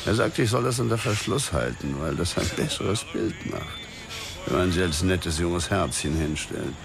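A crude police colleague in a radio drama. His voice is low and gravelly, and he speaks bluntly and coarsely. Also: animated, moderate pace, music in the background.

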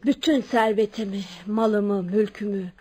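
An actress playing a wealthy, kindly widow near seventy in a Turkish radio drama, frank and dignified. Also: female voice, mid-range voice, steady tone, measured pace, a little mellow, close to an everyday voice. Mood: earnest, resolute. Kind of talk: Turkish radio theatre acting.

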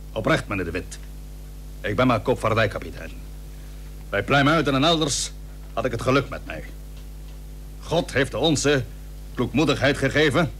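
A blunt, animated seafaring admiral. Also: male voice, fairly low pitch. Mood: reluctant, weary. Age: middle-aged. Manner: plain-spoken, earnest, formal. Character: blunt, dutiful middle-aged Dutch admiral of the seventeenth century. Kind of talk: radio drama acting in archaic formal Dutch. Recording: humming, noisy old radio recording.

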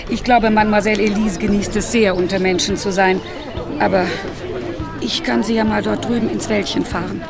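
An actress playing a chatty, gossiping carer who talks on and on. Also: female voice, mid-range voice, fairly even intonation, brisk, vocal fry, lightly acted. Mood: cheerful. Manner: talkative, gossipy. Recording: outdoor party with loud music and crowd.

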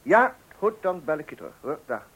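A man's voice: on the high side, sing-song, normal speed, fairly bright tone, breathy edge, full character voice. An actor in a radio play playing a flustered, weary father, hesitant, stammering and exasperated. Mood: harried. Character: flustered, weary father awaiting a birth at night.

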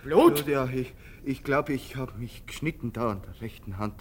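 A man's voice: medium pitch, moderate pace, a loud crowd, natural voice. An actor playing a haunted, agitated man in a radio drama, erratic and repeating his words defiantly. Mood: flustered. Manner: erratic, repeating words, stammering under suspicion. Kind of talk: literary radio drama acting.

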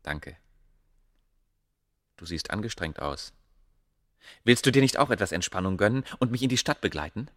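A man playing a proud, secretive scholar of ancient Egypt in a radio play, speaking in a measured, formal way. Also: fairly low pitch, animated, fairly bright tone. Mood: calm.

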